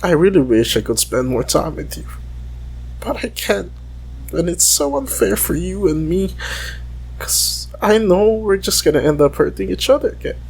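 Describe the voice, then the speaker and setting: A man giving an intimate, emotional monologue in a halting, confessional way. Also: sits high, lively intonation, creaky, husky, poor audio.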